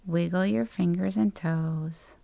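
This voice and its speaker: A woman leading a scripted guided meditation in a calm, soothing, gentle way, with long pauses and soft phrase endings. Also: lowish voice, gravelly, dry recording.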